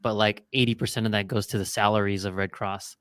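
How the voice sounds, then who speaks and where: A man hosting an interview podcast, explaining points to listeners in a relaxed, conversational way. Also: lowish voice, fast, no room sound, clean studio sound. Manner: explanatory, drawing business lessons. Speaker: podcast host. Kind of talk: casual interview chat.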